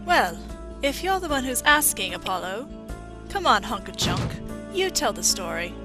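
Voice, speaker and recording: A woman playing a bitter, sharp-tongued robot engineer in a video game fan dub, brusque and mocking. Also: on the high side, big pitch swings, normal speed, music in the background. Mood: mocking, commanding.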